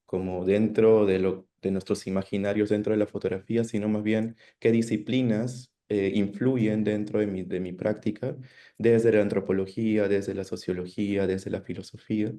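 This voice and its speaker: Dark-voiced man presenting his art. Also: lowish voice, steady tone. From Peru, Amazon region. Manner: thoughtful, hesitant, many pauses and tag questions. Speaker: guest artist. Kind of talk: panel presentation.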